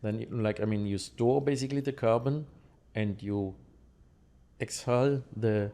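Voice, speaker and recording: A man from Germany with a German accent, explaining things conversationally and haltingly in an interview, checking in with his listener. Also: on the low side, dark tone.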